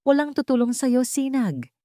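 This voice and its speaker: Woman voicing a chillingly calm Filipino male villain. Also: high-pitched, normal speed, no room sound, studio quality, voice pushed up. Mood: angry, menacing. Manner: calm, cold, threatening. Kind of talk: audiobook character acting.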